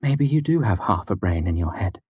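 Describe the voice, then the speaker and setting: A fierce, proud little woman acted by a male audiobook narrator, speaking in a raised register. She is curt and sarcastic, with a foul mouth and a sharp edge. The recording is close and dry. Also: mid-range voice, animated, normal speed, breathy edge, no room sound, voice pushed up. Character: sardonic, blunt, foul-mouthed small woman with fierce pride. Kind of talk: audiobook character dialogue.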